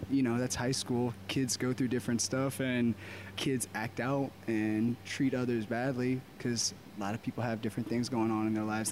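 A man in his twenties from Baltimore, a DJ talking casually and reflectively about his life as an interview guest, with frequent "uh" pauses. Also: mid-range voice, a little hiss or hum. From Baltimore, USA. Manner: relaxed, reflective, many "uh" pauses. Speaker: podcast guest, DJ.

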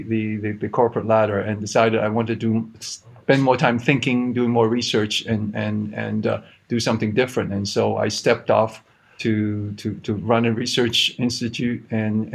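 A man in his fifties, raised in Hong Kong, who speaks with an American accent at a middle pitch. He answers at length in a halting, self-deprecating way, stumbling over his words and repeating them before finding his point. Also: vocal fry, slight background noise. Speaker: guest, research institute CEO. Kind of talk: conversational interview answers.

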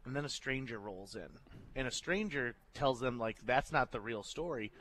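A man with a bright, crisp-edged voice of medium pitch talks on a radio show. He spins stories casually and jokily over slight background noise. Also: lively intonation, normal speed, low-quality recording. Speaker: radio personality and playwright. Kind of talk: casual storytelling banter.

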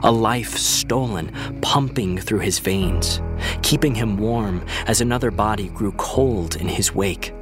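A man performing solemn, measured narration of a brooding vampire story for a fiction podcast. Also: fairly low pitch, over music, close to an everyday voice.

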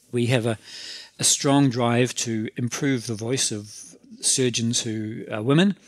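A man with a New Zealand accent giving deliberate, methodical interview answers about the organisation he leads, laying out his points in series. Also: mid-range voice, dry recording. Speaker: college council leader.

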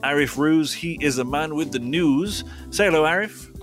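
A man's voice: on the high side, normal speed. A man hosting a radio car show, bantering jokily and mocking himself. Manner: jokey, self-mocking banter. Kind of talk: casual radio chat. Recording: music bed behind.

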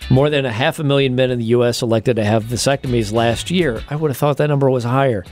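A man's voice: medium pitch, quick pace, darkish tone, soft background music. A man co-hosting a casual comedy podcast, reading out tips and facts with wry, offhand asides and casual swearing.

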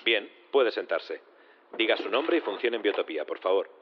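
A man acting as a solemn, procedural judge in a sci-fi courtroom audio drama, formal and measured. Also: on the low side, lively intonation, dull audio, a little hiss or hum, natural voice. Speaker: actor in audio fiction.

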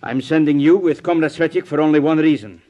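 An actor playing a stern, menacing Soviet party boss with commanding, deliberate delivery in a 1950s radio drama. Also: male voice, a little high, a little mellow, lo-fi sound, close to an everyday voice. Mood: stern, instructing. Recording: vintage 1950s radio recording.

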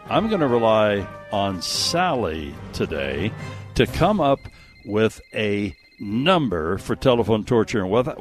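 An American man hosting a radio show, bantering and jokily teasing callers through a prize giveaway. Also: fairly low pitch.

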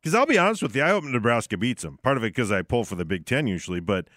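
A man's voice: medium pitch, very animated, rapid-fire, a bit bright, no echo. A man talks sports as a radio co-host in a loose, unscripted way. His voice sits in a middle register but moves up and down a lot. The sound is close and dry, from a studio microphone.

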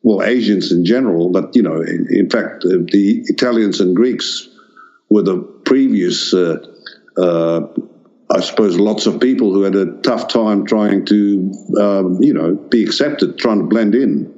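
A man's voice: lowish voice, a little slow, a little mellow, dull audio. An older man born in the Netherlands whose first language is Dutch, answering interview questions hesitantly, with many restarts and fillers. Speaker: artist guest.

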